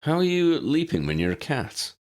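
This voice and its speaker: Man acting a young wizard hero, brave, direct, urgent, very animated pitch, audiobook character. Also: fairly quick. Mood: resolute. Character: brave, decisive young male wizard leader.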